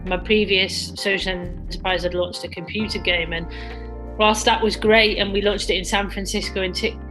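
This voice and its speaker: A woman's voice: mid-range voice, steady tone, moderate pace, rough recording. A woman co-founder answering interview questions in a conversational way, with many restarts and "sort of" fillers. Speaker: social enterprise co-founder. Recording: music playing under the voice.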